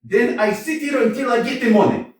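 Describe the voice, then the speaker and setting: An amateur actor playing a blustering, scornful landowner in a staged play reading, swinging between shouting and mock-tender crooning. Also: male voice, brisk. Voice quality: booming, theatrical. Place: small community theater stage. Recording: echoey hall from a distance.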